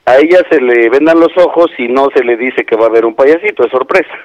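A man's voice: medium pitch, fast talker, lo-fi sound. Polite middle-aged Mexican man on the phone. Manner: polite, businesslike. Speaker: party clown taking a booking call. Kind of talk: phone conversation.

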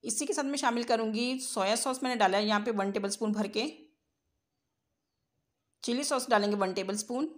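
A woman's voice: a little high, normal speed, pauses often. A woman narrates a cooking tutorial as a home cook, explaining step by step in an instructional way. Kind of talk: recipe tutorial voice-over.

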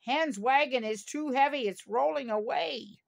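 Slow, earnest young storybook superhero read by a woman. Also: sits high, creaky. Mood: eager, earnest. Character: young boy superhero reader, eager, helpful.

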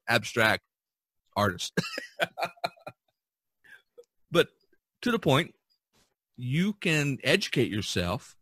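A man chatting casually as a podcast co-host, haltingly searching for his words. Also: on the low side, pushed and bright, no room sound. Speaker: podcast co-host, representational artist.

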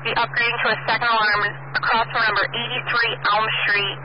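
Flat-toned woman dispatcher on noisy radio. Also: fairly high pitch, brisk, highs cut off. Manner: procedural, confirms messages back. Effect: two-way radio transmission. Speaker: fire dispatcher.

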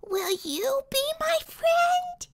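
A woman voicing an excitable, sweet and dramatic young girl T-rex from a storybook, childlike and emphatic, with squeals. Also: extremely high pitch, extremely slow, voice pushed up, exaggerated voice. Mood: timid, hopeful.

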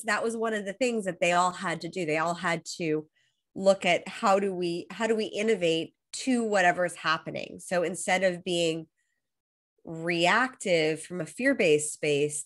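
A woman's voice: fairly low pitch, fairly bright tone. A woman in her thirties with an American accent, a coach talking enthusiastically about her work in a video-call interview while getting over a cold. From Los Angeles, USA. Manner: enthusiastic, motivational, flowing. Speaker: guest, high performance coach. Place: bright room at home.

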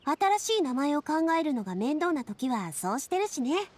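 A woman voicing a confident, playful young lady in an anime game, talking in a casual, breezy, expressive way. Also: high-pitched, moderate pace. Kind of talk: Japanese anime game voice acting. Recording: studio voice with music underneath.

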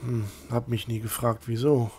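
A man's voice: mid-range voice, level tone, moderate pace, dark tone, slightly rough. A man playing a squeamish, naive young novice priest in a fantasy audio drama, whiny and hesitant. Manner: whiny, hesitant, easily disgusted. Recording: background music under voice.

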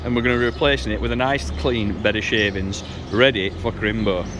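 A man's voice: on the low side, fairly quick. A man, chatting casually with fillers as he films himself around a farmyard and cattle barn. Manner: chatty, relaxed, with many fillers. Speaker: farmer and presenter. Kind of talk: casual vlog narration. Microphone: handheld selfie camera. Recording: outdoor noise, machinery nearby.

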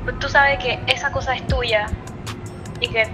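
A woman with a Panamanian accent chats casually on a phone call. Her voice is high and her manner is blunt and questioning. Music plays behind her. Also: fairly even intonation, moderate pace. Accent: Panamanian Spanish. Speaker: friend called on the phone.

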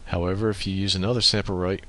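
A man giving a step-by-step software tutorial as screencast narration, speaking into a USB microphone. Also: low-pitched, little pitch movement, fast talker.

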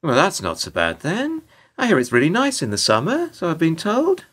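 An actor in a radio play playing a world-weary, put-upon hotel receptionist who talks in a wry, resigned, long-winded formal way. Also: male voice, a little high, huge pitch swings, normal speed, fairly bright tone, slightly rough, no room sound, exaggerated voice. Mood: baffled, wry. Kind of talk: radio play monologue and dialogue.

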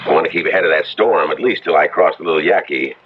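An actor playing a laconic, folksy Western ranch hand in a 1970s radio drama. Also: male voice, rumbling low, fast talker, highs cut off. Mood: grim, polite. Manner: folksy cowboy way of talking. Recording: band-limited, noisy old radio broadcast.

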